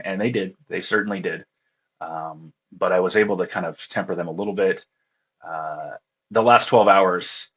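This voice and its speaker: A man's voice: deep voice, a bit bright, breathy edge, dull audio. A man being interviewed, talking casually in a tired, rambling way and laughing often. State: groggy from lack of sleep. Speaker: interview guest, contest radio operator.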